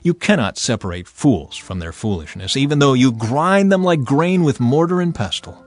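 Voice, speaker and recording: Male Bible narrator: husky, theatrical, widely swinging intonation, deliberate emphasis, soft music bed underneath. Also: medium pitch. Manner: theatrical, emphatic, deliberate delivery with expressive swells and drops. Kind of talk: dramatized scripture reading. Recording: voice over background music.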